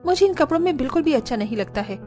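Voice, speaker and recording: A woman voicing a gentle, troubled young daughter-in-law in an animated story. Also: high-pitched, racing, faint music behind. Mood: uneasy. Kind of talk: animated story character dialogue.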